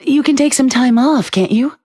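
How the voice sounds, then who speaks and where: A woman playing a caring, gentle mother in a video game, tender and reassuring. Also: high-pitched, normal speed, husky, no echo, studio quality. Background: faint music.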